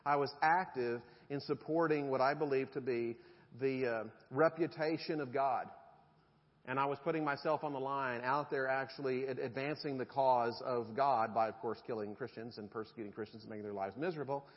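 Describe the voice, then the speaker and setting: A man's voice: medium pitch, fairly even intonation, brisk. A middle-aged man, preaching a conversational sermon with jokes to his congregation. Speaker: pastor. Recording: dull sound in a reverberant hall.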